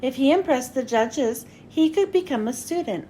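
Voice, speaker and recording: A woman reading a children's storybook aloud in an expressive story-time manner. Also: high voice, normal speed, a little hiss or hum. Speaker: children's read-aloud host. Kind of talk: animated storybook narration.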